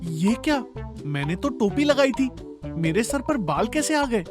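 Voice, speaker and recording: A man voicing a plain, insecure young husband in an animated comedy story. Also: very high-pitched, unhurried, over music, heavily acted. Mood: amazed.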